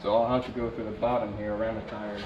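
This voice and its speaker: A man narrating a DIY vehicle install in a relaxed, casual way, talking to himself as he works. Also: on the low side, quick pace, background noise. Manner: laid-back, talks to himself while working. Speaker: DIY vlogger. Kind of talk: casual how-to narration while working. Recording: roomy, distant, dull camera audio.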